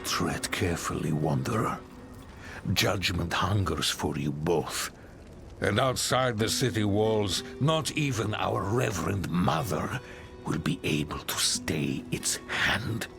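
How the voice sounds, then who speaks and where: A grim, disdainful zealot delivers cold threats in a fantasy game. His voice is gravelly and slow, with loud music underneath. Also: deep voice, animated, heavily acted. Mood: contemptuous menace. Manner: disdainful, menacing, measured. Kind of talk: dramatic fantasy game acting.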